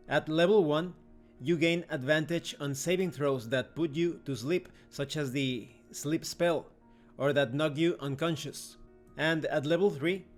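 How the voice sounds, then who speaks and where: A man with a slightly high-pitched voice hosts an explanatory review. He speaks in an orderly, instructional way, stepping through the material point by point. Soft music plays underneath his narration. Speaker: game reviewer, channel host. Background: background music.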